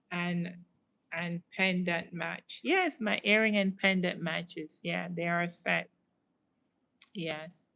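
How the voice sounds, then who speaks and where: A woman's voice: on the low side, fairly bright tone. A woman with a slight Jamaican accent chatting casually on a livestream, reading out viewer comments and answering them. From United States. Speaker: host.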